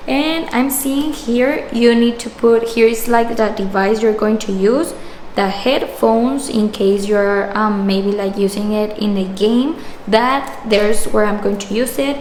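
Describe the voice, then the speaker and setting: A woman's voice: a little high, unhurried, darkish tone. A woman in her twenties doing a casual unboxing review, phrasing things hesitantly as she goes. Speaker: product reviewer. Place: small indoor room with shelves. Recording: echoey room with background noise.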